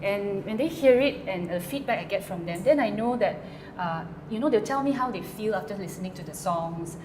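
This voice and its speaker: A woman's voice: mid-range voice, lively intonation, brisk. Woman, hesitant, lots of fillers, roomy echo, noisy background. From Malaysia. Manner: hesitant, many fillers and restarts. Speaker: guest songwriter. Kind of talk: interview answers.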